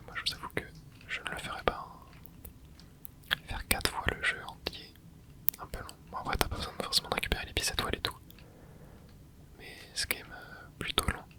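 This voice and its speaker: A man whispering casual commentary as he plays a video game for an ASMR channel, dropping small interjections and explaining the game to his viewers. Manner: soft whispering with little interjections while playing. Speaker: ASMR gaming channel host.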